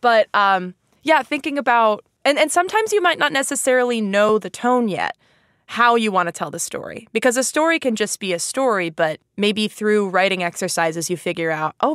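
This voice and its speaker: A woman's voice: medium pitch, fairly bright tone, dry recording, studio quality. A woman hosting a casual educational interview, chatty, informal and curious. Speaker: podcast host interviewing a guest.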